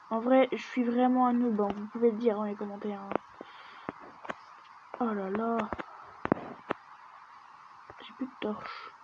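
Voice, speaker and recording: A woman talks her way through a video game in a loose, chatty let's-play style, often sinking into a near-whisper as she mutters to herself. Her voice sits on the high side. The recording is muffled and lo-fi, with a clear steady noise running underneath. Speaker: gaming video creator. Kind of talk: casual let's-play commentary. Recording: dull lo-fi mic with steady background noise.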